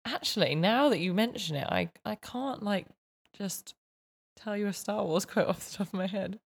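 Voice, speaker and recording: A woman's voice: medium pitch, animated, normal speed, gravelly, husky. A woman chatting playfully with her fiancé, talking freely and saying "like" often. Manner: playful, chatty, many "like" fillers. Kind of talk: casual couple chat.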